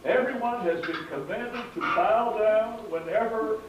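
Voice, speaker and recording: A man's voice: high-pitched, measured pace, dull audio. A man voicing a pompous, scheming royal villain in an amateur puppet show, crying out repetitively like a herald. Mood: indignant. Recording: very echoey hall, distant mic, faint noise.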